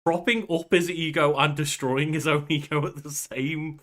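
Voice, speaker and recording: A man's voice: fairly high pitch, fairly even intonation, normal speed, fairly bright tone. A young man co-hosting a podcast in casual chat, with banter and some swearing. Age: young adult. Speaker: podcast co-host. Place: small bedroom at home.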